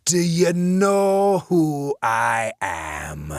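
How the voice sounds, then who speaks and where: A man's voice: fairly high pitch, wildly swooping pitch, extremely slow, dry recording, studio quality, pitched above the performer's own voice, full character voice. A man doing a gloating dead policeman. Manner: taunting, relishing violence. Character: cruel ghost of an old-time male policeman.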